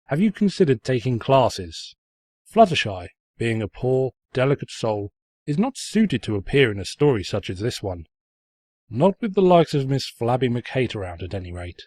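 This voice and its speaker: A man's voice: mid-range voice, very animated, moderate pace, a little mellow, no echo, clean studio sound. A man playing a sarcastic, pompous narrator who mockingly tells a comic fan fiction story. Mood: smug. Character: snide, mocking male storybook narrator who insults the heroine.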